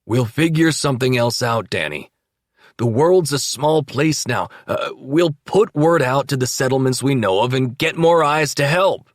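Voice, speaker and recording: A man voicing a steady sheriff who speaks calmly but loudly and firmly in an audiobook. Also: mid-range voice, measured pace, no echo, clean studio sound, pitched above the performer's own voice, close to an everyday voice. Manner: calm but loud, firm. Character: steady, firm middle-aged male sheriff.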